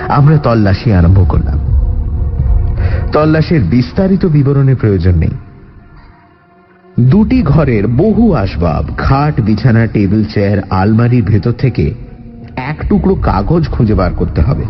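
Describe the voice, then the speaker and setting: A man narrating a detective story as the sleuth's observant companion, in an expressive scripted style. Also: medium pitch, unhurried, pauses often, muffled recording, faint music behind. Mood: descriptive, suspenseful.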